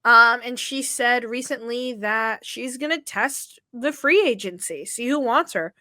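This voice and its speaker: A woman's voice: fairly high pitch, a little slow, pushed and bright, no room sound, clean studio sound. Brief: twenties woman, casual podcast co-host, slangy, swears freely, slightly wheezy. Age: 20s. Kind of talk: casual sports chat.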